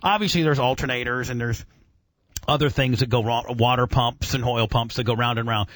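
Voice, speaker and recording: A man's voice: medium pitch, fairly even intonation, brisk, fairly bright tone, no echo. A man hosting a casual radio show, explaining and quizzing with an enthusiastic, profane, teacherly manner.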